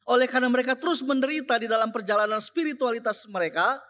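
A young man giving a chapel sermon to students, slow and emphatic, repeating key phrases. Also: very high-pitched, bright, forceful tone. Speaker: chapel speaker and teacher. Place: school chapel.

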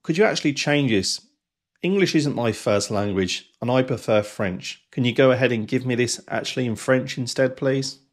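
A man in his forties hosting a software tutorial in a presenter style. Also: medium pitch, moderate pace. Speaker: tutorial host.

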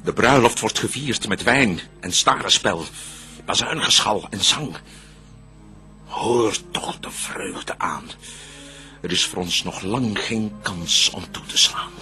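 An actor playing a proud, scheming, vengeful devil in a radio drama, declaiming verse in a theatrical manner. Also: male voice, fairly low pitch, slow pace, pushed and bright, soft background music, exaggerated voice. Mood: calculating, sinister.

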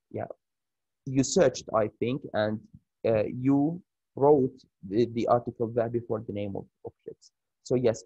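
A man with an Arabic accent teaching an English grammar lesson over a shared screen, pausing often with fillers and restarts. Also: medium pitch, gravelly, mellow, husky, dry recording, highs cut off. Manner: halting, many fillers and restarts.

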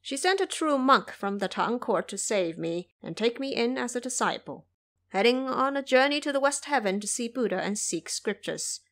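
A woman's voice: a little high, lively intonation, normal speed, a bit bright. A woman voicing a brash, boastful male monkey sage in an audio drama, speaking emphatically. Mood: indignant, explaining. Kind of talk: audio drama character acting.

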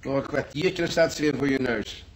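An actor playing a grumbling, self-pitying hospital patient with a whining, needling manner in a radio play. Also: male voice, mid-range voice, a little fast, close to an everyday voice. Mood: cranky, needling. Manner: whining, cranky.

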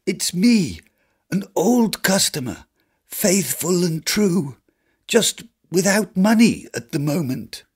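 Freezing beggar for a storybook, voiced by a man, pitched far up, pleading and humble, with lively swings. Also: slow pace, husky, full character voice. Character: freezing poor man begging for coal.

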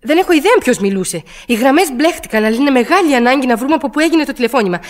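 An anxious, imperious woman in a radio drama. Her voice is high and swings widely in pitch. She stammers and presses her demands with agitation. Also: animated. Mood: urgent, indignant. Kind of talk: radio play acting.

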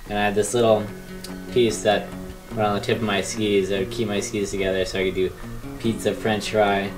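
A man's voice: on the low side, flat delivery, brisk. A young American man reflecting casually in an interview. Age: teens to young adult. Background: guitar music.

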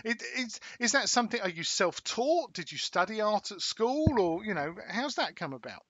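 A man's voice: high-pitched, sing-song, moderate pace, fairly bright tone, no echo. An older man hosting a podcast interview, talking in an expansive, enthusiastic way with frequent restarts. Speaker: podcast host. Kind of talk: casual interview chat. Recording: dry, slightly dull podcast audio.

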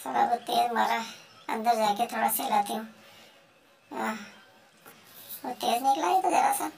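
A hungry female house cat mutters her schemes slowly to herself, humming as she plots, as a woman voices her. Her fairly high voice has a gravelly, fried edge and sits a little away from the mic. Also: a little mellow, distant mic. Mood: grumbling greedy. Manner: muttering, plotting to herself with many hums. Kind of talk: comic pet voice-over.

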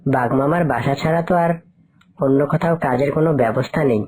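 A man voicing a poor, resigned working father in an animal cartoon, with a husky voice and weary manner. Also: medium pitch, fast, a little mellow, natural voice. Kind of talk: cartoon character acting.

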